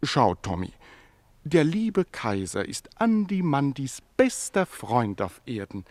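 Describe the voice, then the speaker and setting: An actor playing a gentle, sly Greek animal tamer in a radio play, coaxing and deferential, with a sing-song coddling way of talking. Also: male voice, medium pitch, very animated, slow, no echo. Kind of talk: theatrical radio play acting.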